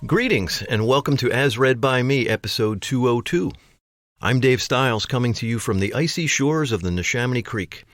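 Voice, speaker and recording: A man presents a podcast from a script, introducing what is to come. His pitch sits in the middle range for a man, and the sound is dry, with almost no room on it. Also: animated, normal speed, no echo. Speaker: podcast host.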